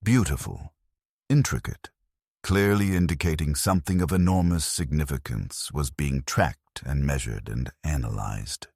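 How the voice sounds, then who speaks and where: A man narrating a scripted first-person story in a measured, grave manner. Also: extremely low pitch, creaky, a little mellow, husky, no room sound, studio quality.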